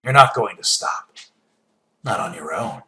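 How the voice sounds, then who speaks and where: An actor playing a sly, ruthless rival in a video game, wry and probing, with a husky voice. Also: male voice, low-pitched, lively intonation, unhurried, bright, forceful tone, slight background noise, exaggerated voice. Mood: wry, suspicious. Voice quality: husky, raspy. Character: the Hunter unmasked, sly ruthless male rival villain.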